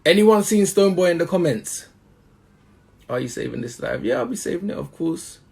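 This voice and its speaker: A man's voice: sits high, expressive, a little fast, gravelly, darkish tone, breathy edge. A man hosting a live-stream interview, chatty and hyping up his audience. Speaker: interview host.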